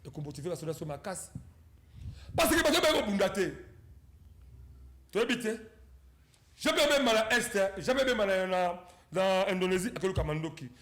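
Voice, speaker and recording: A man hosting a political talk show, animated and prompting his guests. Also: high-pitched, moderate pace, pauses often, roomy sound. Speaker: debate host.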